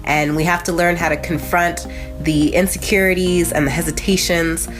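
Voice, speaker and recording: A woman's voice: fairly low pitch, fairly even intonation, moderate pace, music in the background. An American woman with a nasal, congested voice, hosting a casual motivational vlog in a chatty, conversational way with frequent asides. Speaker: host of a motivational video channel.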